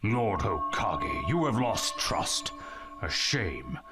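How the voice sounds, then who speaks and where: An actor playing a grave male samurai chairman in a game cutscene, who speaks slowly and formally. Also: on the low side, big pitch swings, over music. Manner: slow, formal.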